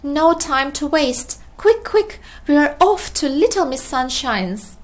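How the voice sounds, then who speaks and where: A woman's voice: sits high, very animated, slow pace, full character voice. A woman voicing a stingy male miser from a children's storybook, in an emphatic, exclamatory way. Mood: excited, urgent.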